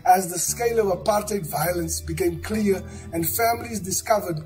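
A man giving earnest, steady scripted narration for a church video, recorded on a studio set. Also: sits high, normal speed, faint music behind, low-quality recording. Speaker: church member presenting.

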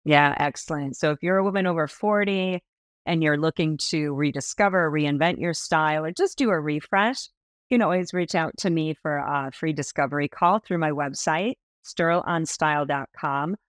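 A woman in her fifties, a stylist being interviewed on a podcast over a video call, telling stories with lively enthusiasm. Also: low-pitched, moderate pace, dry recording, clean studio sound. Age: 50s. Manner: animated, enthusiastic storytelling with expressive hand gestures. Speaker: guest stylist. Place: small indoor room.

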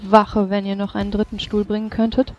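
A woman plays out a scene in a tabletop roleplaying game. She speaks at a middle pitch and sounds polite and formal, like an official asking questions. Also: little pitch movement, fairly quick, highs cut off. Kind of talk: in-character roleplay dialogue in an online game.

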